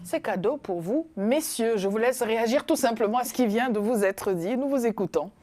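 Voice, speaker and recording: A woman's voice: on the high side, expressive, normal speed, dark tone. A woman hosting a TV talk show, introducing guests with formal, theatrical flourishes.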